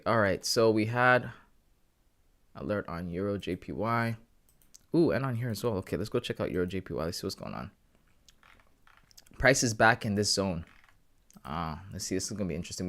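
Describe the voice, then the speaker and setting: A man's voice: fairly low pitch, fairly bright tone. A man in his thirties chatting casually with viewers on a live trading stream, slangy and laughing often. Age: 30s. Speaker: livestreaming forex trader.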